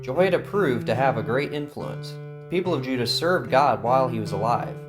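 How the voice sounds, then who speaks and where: A man's voice: medium pitch, lively intonation, fairly quick. A man narrating a scripted Bible story in a storytelling style. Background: plucked guitar music.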